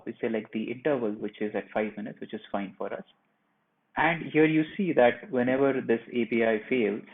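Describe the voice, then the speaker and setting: A man presenting a software tutorial, narrating each step of a screen share in a plain, instructional way. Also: medium pitch, creaky. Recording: muffled phone-like narrow band.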